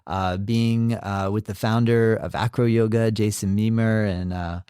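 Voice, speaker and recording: A man's voice: fairly low pitch, fairly even intonation, unhurried, warm tone, smooth, no room sound, studio quality. A man hosting a podcast interview, enthusiastic and full of filler words. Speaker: podcast host.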